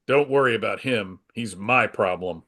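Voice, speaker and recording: A man's voice: on the low side, normal speed, sharp tone. A man playing an earnest, self-assured superhero in a live dramatic comic book reading. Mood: confident. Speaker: performer in a dramatic comic book table read.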